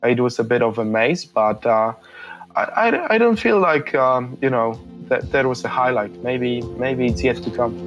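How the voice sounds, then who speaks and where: A man's voice: mid-range voice, moderate pace, highs cut off, soft background music. A man in his thirties from Poland, Polish his first language, answering interview questions in a hesitant, reflective way with many fillers and restarts.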